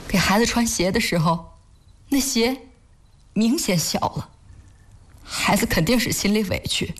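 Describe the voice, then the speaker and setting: An actress playing a caring, soft-hearted wife devoted to charity in a sentimental audio drama, speaking earnestly in a slightly husky voice. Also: female voice, mid-range voice, a little slow, close to an everyday voice. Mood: sorrowful.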